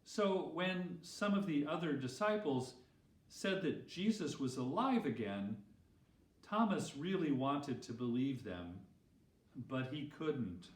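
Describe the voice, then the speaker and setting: A man, a pastor, reading a children's story expressively with deliberate phrasing. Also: fairly high pitch. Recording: roomy echo, distant microphone.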